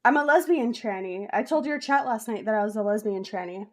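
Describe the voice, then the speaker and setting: Sassy woman guest, slightly high. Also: fairly quick. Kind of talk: casual banter.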